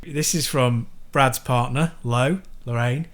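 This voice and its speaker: An English man co-hosting a gaming podcast, with a calm, soothing way of talking and dry humour. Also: medium pitch, takes it slowly. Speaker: podcast co-host. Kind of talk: casual banter.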